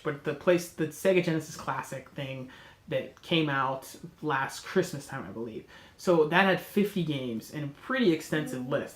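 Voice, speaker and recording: A man's voice: on the high side, roomy sound. Congested, nasal man chatting about games. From North America. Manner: enthusiastic, rambling, self-correcting. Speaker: host. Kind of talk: casual chat.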